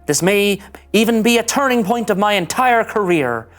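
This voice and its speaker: A woman's voice: mid-range voice, animated, moderate pace, faint music behind, natural voice. A woman playing an earnest, easily flustered young male starship ensign in a melodramatic sci-fi script reading. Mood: solemn, resolved. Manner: melodramatic, indignant monologuing. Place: studio.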